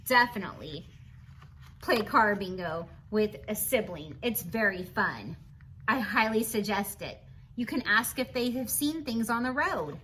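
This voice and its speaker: A woman's voice: fairly high pitch, sharp tone, a little hiss or hum. A woman reading a picture book aloud to young children, adding chatty asides in an encouraging, instructional manner. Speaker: children's read-aloud host.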